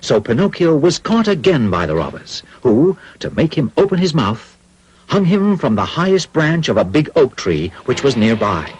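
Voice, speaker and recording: A man narrating a classic cartoon fairy tale in an expressive, scripted storybook style. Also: medium pitch, normal speed, breathy edge, poor audio. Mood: grave, dramatic.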